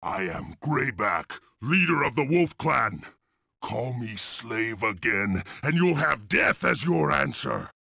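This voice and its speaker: A man playing a defiant, commanding leader of an enslaved fantasy clan in a video game, rough-voiced and resolute. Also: mid-range voice, sing-song, takes it slowly, bright, forceful tone, dry recording, studio quality, exaggerated voice. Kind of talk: dramatic video game cutscene acting.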